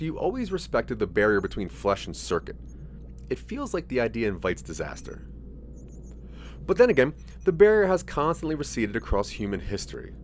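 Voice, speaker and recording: A man's voice: lowish voice, slight background noise. A man narrating a scripted interactive audio drama in the second person.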